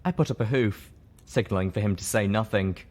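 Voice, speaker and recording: Male story reader with a fairly low voice for dramatic fiction narration. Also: fairly quick, darkish tone.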